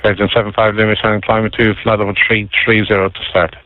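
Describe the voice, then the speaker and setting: A man's voice: on the low side, level tone, fast. A man working as an air traffic controller, giving clipped, procedural instructions over radio. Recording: narrow phone-like air traffic radio channel.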